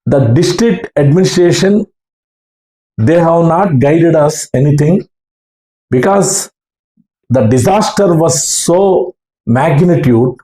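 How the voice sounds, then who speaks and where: A man with a South Indian accent recounting his experience as an amateur radio operator in halting, earnest short phrases. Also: fairly high pitch, expressive, warm tone. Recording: roomy, echoing room sound.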